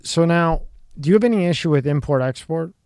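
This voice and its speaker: Smooth-voiced male host asking questions. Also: fairly high pitch, a little fast, darkish tone, no room sound, clean studio sound. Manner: curious, conversational questioning. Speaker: interview host. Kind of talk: casual interview questions.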